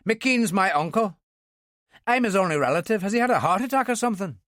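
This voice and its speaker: A man's voice: high-pitched, lively intonation, brisk, a bit bright, no room sound, clean studio sound, pitched above the performer's own voice. A male narrator voicing a tough Irish operative who slips into a Northern Irish accent, blunt and urgent.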